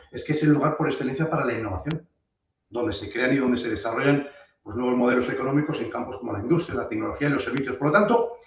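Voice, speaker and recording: Man, hoarse from a cold, halting finance talk, far from the mic in a very echoey room with a muffled sound. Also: mid-range voice. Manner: hesitant, many fillers and restarts. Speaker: webinar speaker, fund manager. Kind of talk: presenting a finance webinar.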